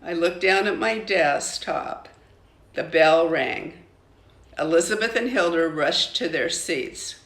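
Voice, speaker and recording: Older woman reading a storybook, slightly bright voice. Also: lowish voice, animated, takes it slowly, echoey room. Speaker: grandmother reading a children's book aloud. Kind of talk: storybook read-aloud.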